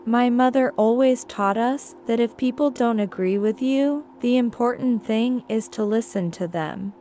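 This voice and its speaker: A woman reading quotations in a measured, deliberate, scripted way. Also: a little high, mellow. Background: background music.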